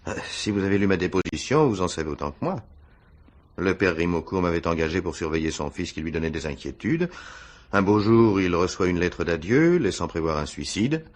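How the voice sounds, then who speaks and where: An actor playing an uneasy, evasive former private detective in a French radio mystery, hesitant and feigning casualness. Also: male voice, low voice, animated, fairly quick. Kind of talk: French radio crime drama acting.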